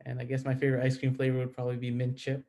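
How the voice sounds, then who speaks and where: Flat, mellow-voiced young man. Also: medium pitch, rapid-fire. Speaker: panelist, dental student. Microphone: video call.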